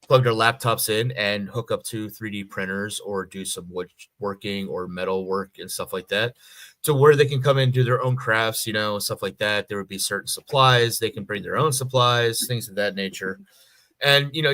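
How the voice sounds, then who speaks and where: A man's voice: medium pitch, fairly bright tone, no room sound. A man co-hosting a podcast, talking casually and plainly, listing things loosely with plenty of you-knows. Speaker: podcast co-host. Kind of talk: casual chat.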